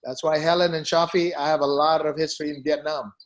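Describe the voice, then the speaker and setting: Middle-aged filipino-born guy, ceo telling his story, kinda high voice, keeps saying you know, okay?. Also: little pitch movement, quick pace, dull audio. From Philippines. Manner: emphatic, repeats for stress, peppers talk with "you know" and "okay?". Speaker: company CEO. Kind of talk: personal story in an interview.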